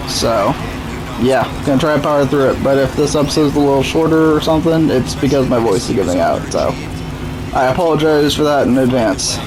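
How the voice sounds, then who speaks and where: A man's voice: mid-range voice, fairly quick, over music. Young man with a sore throat. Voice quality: voice strained and rough. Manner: laid-back, self-deprecating. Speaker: gaming YouTuber. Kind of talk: casual gameplay commentary. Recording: noisy home recording.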